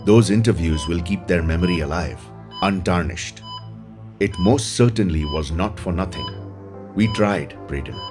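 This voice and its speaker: Man acting deep-voiced, compassionate doctor. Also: expressive, moderate pace, music in the background. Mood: sombre, reassuring. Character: calm, compassionate male doctor, gently reassuring. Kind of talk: dramatic audio drama acting.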